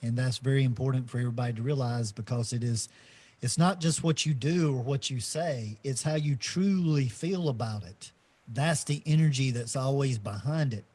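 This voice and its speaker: A middle-aged man co-hosting a podcast, talking slowly and reflectively in a casual spiritual chat, with many fillers and restarts. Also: medium pitch, darkish tone, husky. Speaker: podcast co-host.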